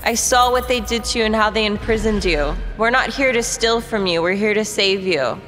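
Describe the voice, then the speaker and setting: A woman voicing an earnest female adventurer in a tabletop fantasy game, pleading in a solemn, measured, imploring manner. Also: medium pitch, fairly bright tone, lightly acted. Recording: reverberant hall sound with music.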